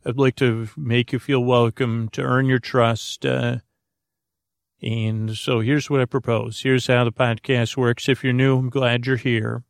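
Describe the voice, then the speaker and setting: A man with a creaky voice hosts a bedtime podcast, rambling soothingly and hesitantly, often correcting himself as he meanders. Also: mid-range voice, flat delivery, measured pace, no room sound, studio quality. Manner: meandering, hesitant, lulling delivery with self-corrections. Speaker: podcast host.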